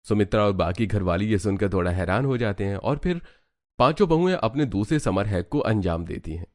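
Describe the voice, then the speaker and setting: A man's voice: on the low side, lively intonation, a little fast, a little mellow. A man narrating a scripted cartoon story.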